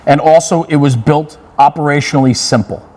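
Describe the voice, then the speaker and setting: A man's voice: a little high, brisk, a little mellow, big-room sound. A man hosting a conversational interview, affirming his guest and summing up points with emphasis. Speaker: interviewer, host.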